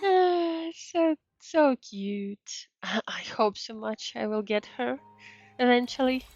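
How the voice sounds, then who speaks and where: High-pitched, slow-talking woman streaming a game. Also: darkish tone, dry recording, dull audio. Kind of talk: casual live gameplay reaction commentary. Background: game music.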